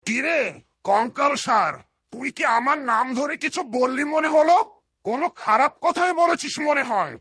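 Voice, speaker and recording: A man voicing a menacing giant demon hawk in a fairytale cartoon, raspy and piercing, giving threatening commands. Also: very high-pitched, lively intonation, moderate pace, sharp tone, dry recording, clean studio sound, exaggerated voice.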